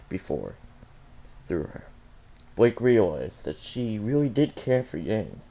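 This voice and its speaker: A man reading fan fiction aloud in an amateur, somewhat halting way. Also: lowish voice, vocal fry, warm tone, low-quality recording. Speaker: fan fiction reader on a gaming channel.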